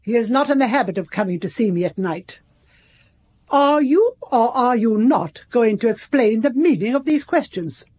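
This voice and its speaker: A proud, fiercely protective older mother in a radio drama. Her voice sits fairly high and ranges widely, with a clipped, imperious, indignant manner. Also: lively intonation, normal speed, a little mellow, husky. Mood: haughty, defiant. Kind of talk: British radio drama acting.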